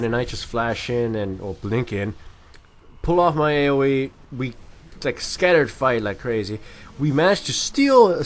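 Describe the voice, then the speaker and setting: A man talks over a video game replay as an amateur commentator. His delivery is casual and rambling, with a self-deprecating streak. The voice sits at an ordinary middle pitch for a man.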